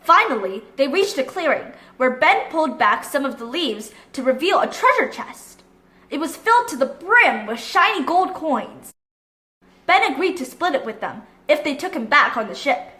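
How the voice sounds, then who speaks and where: A high-voiced woman reads a children's story aloud with very lively intonation. Her delivery is expressive and bright, and the room adds a noticeable echo. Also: normal speed. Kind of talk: expressive read-aloud narration.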